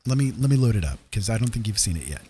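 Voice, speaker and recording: A man hosting a livestream, chatting casually in a halting, rambling way. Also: on the low side, very animated, mellow, slightly rough. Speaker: livestream host.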